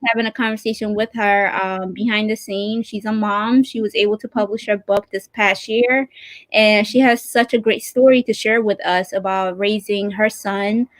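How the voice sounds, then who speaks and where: A woman's voice: medium pitch, steady tone, normal speed, no room sound. Chatty upbeat woman co-hosting a live stream. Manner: upbeat, chatty, lots of fillers. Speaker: nonprofit outreach host. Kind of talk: live-stream interview chat.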